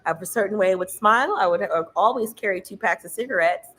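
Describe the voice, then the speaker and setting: A woman with a loud, carrying voice giving a casual tarot reading, emphatic and preachy, swearing freely. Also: medium pitch, fast talker, slight background noise. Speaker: tarot reader.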